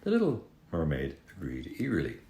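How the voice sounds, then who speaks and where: A male storyteller with an extremely low voice reads a fairy tale aloud. His delivery is dramatic and expressive, stretching words for emphasis, and the room adds a clear echo. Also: brisk. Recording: echoey room.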